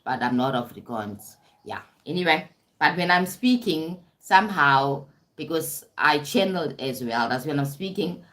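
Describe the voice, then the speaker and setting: A woman's voice: low-pitched, expressive, a little slow. A woman hosting a casual self-development livestream, chatty, often stumbling over words and checking in with viewers. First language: English. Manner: warm-toned chatty, calls viewers "sweetie pie", frequent "right?" and restarts. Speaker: livestream host.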